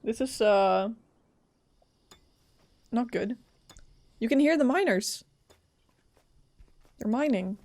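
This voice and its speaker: A woman doing casual, informal live commentary as she plays a video game, expressive and thinking aloud. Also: a little high, slow pace, long pauses, no room sound. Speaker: game streamer. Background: game music.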